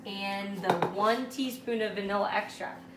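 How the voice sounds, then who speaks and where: A woman's voice: medium pitch, steady tone, normal speed. A woman in her thirties, a mother casually guiding young children through steps with encouraging check-in questions. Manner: encouraging, step-by-step directing with frequent check-in questions. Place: home kitchen or dining room, indoors. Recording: echoey room with clear background noise.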